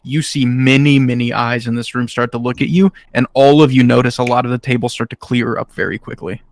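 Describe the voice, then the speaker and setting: A man's voice: medium pitch, fairly even intonation, brisk, no room sound. A man improvising narration as game master in a tabletop role-playing game, speaking directly to his players.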